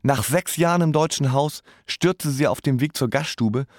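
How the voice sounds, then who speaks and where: An actor playing a wry grown son who narrates in a matter-of-fact way in a radio drama. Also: male voice, fairly high pitch, normal speed, no echo, studio quality, lightly acted. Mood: wry, sad. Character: wry grown son recalling his ailing mother. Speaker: first-person narrator.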